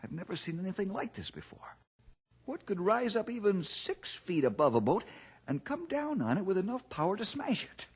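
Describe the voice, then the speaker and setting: Husky, animated ranger hero. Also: male voice, high-pitched, normal speed, heavily acted. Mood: thoughtful. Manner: folksy, reflective, reassuring. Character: steady, thoughtful, fatherly male ranger hero. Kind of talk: old-time radio drama acting.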